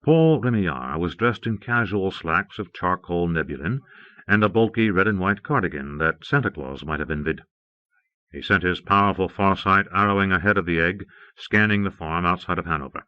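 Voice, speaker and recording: A man's voice: sits low, normal speed, dry recording, muffled recording. A man narrating fiction from a script as an audiobook reader, on an old cassette transfer.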